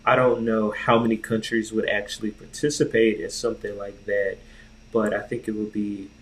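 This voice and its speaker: Laid-back man with a lowish voice. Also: little pitch movement, normal speed, a little hiss or hum, rough recording. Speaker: podcast co-host. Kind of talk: casual chat.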